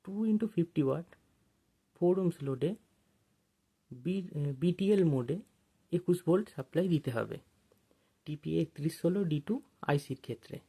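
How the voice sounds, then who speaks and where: A person narrating an informal technical tutorial as an instructor, explaining things step by step with pauses. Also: medium pitch, creaky, dark tone, breathy edge.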